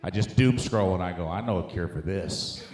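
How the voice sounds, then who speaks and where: A man's voice: low-pitched, normal speed, darkish tone. A middle-aged American man doing conversational, profane stand-up comedy, talking emphatically and directly to a live audience. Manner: conversational, profane, emphatic, addressing the crowd as dude and guys. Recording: echoey live comedy room.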